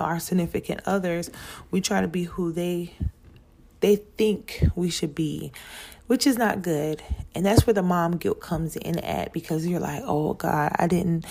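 A woman's voice: lowish voice, creaky, darkish tone. A woman of thirty hosting a podcast, talking in a chatty, rambling way straight to other moms. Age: 30s. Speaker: podcast host. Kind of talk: casual solo podcast talk.

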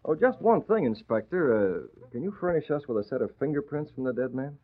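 An actor playing a shrewd, composed newspaper publisher in a 1940s radio drama. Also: male voice, medium pitch, very animated, fairly quick. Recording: tinny old radio recording.

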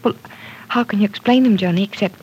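An actress playing an earnest, gentle young woman caught up in love troubles in an old radio drama, soft and breathy at times. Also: mid-range voice, fast, dull audio, a little hiss or hum, close to an everyday voice. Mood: pleading. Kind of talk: 1940s radio acting.

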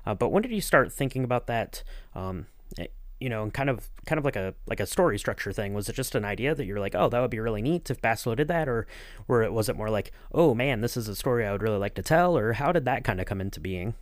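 A man with a fairly low voice interviews on a podcast in a casual, conversational style. He rambles agreeably toward his questions, and the sound is dry with very little room. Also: expressive, fast talker, dry recording. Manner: rambling, agreeable, hedging with "kind of". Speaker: podcast co-host acting as interviewer.

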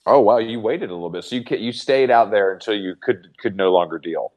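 A man in his thirties co-hosting a casual chat, teasing with quick asides. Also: lowish voice, muffled recording. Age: 30s. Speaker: podcast co-host.

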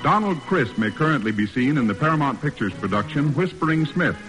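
A man's voice: on the high side, lively intonation, brisk, fairly bright tone, poor audio. A man announcing a radio program in formal 1940s broadcast style. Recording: old radio broadcast with music behind.

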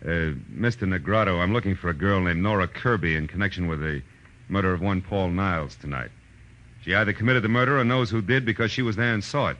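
An actor playing a tough, wry private detective with an American accent and a clipped, assertive manner in a 1940s radio drama. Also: male voice, low-pitched, fast talker, a bit bright, muffled recording. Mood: firm, pressing. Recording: old radio recording with music.

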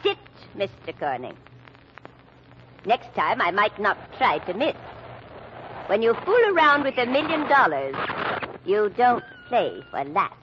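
A woman's voice: fairly high pitch, huge pitch swings, unhurried, pauses often. An actress playing a steely, sardonic middle-aged mother in an old radio drama style. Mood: commanding. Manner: commanding, sardonic. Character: steely, cool, commanding matriarch of rich family. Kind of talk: 1940s radio acting. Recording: dull, noisy old radio recording.

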